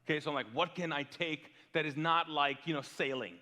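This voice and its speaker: Pastor guy preaching, kinda high voice, big loud-soft swings, lots of questions to the crowd, long hall echo. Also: a little fast. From Kuwait. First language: Urdu. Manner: animated storytelling, big swings in loudness, rhetorical questions. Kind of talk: sermon. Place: large church hall.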